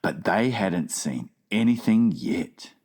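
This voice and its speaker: A woman's voice: rumbling low, expressive, slow, dark tone, slightly rough. A woman narrating a children's audiobook in a dramatized storytelling style.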